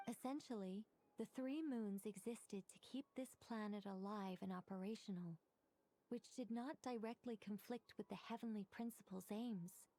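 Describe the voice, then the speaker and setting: A woman's voice: mid-range voice, warm tone, close to an everyday voice. A woman playing a composed, gracious lore-teller in a fantasy game, speaking in a formal, articulate, solemn manner. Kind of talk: measured storytelling in a fantasy game.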